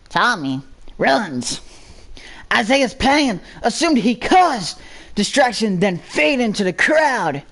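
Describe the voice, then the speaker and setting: Creaky, slow dramatic narrator. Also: mid-range voice, fairly bright tone. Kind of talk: dramatic scripted story narration.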